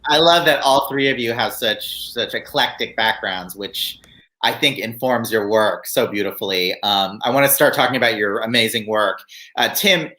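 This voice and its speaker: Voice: medium pitch, normal speed, pushed and bright. An interview host chatting casually on a livestream in an enthusiastic, encouraging way.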